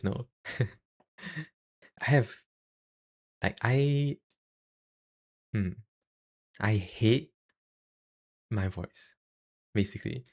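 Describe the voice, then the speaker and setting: A young man with a Singaporean accent chatting casually on a livestream, halting and self-deprecating, speaking into a cheap USB microphone. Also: fairly low pitch. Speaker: YouTuber and live streamer.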